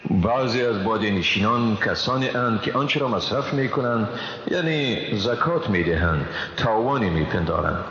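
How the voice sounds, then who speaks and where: A lowish male voice with an Afghan Dari accent rings out in long reverb, a little muffled. He reads translated scripture formally, with solemn and careful phrasing. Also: expressive, fairly bright tone. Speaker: translation reader. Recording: long reverb, dull, faint noise.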